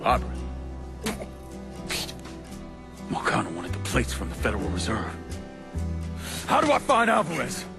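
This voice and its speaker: An actor playing a grim, relentless vigilante who speaks tersely and bluntly in a video game cutscene. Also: male voice, mid-range voice, huge pitch swings, fairly quick, creaky, loud music behind the voice. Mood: menacing interrogation.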